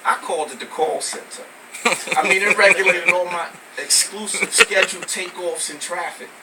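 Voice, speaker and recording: An American man from Brooklyn performing spoken word poetry live, with emphatic, deliberate phrasing. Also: high voice. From Brooklyn, New York, United States. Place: live poetry venue with small audience. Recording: noisy, distant amateur recording of live venue.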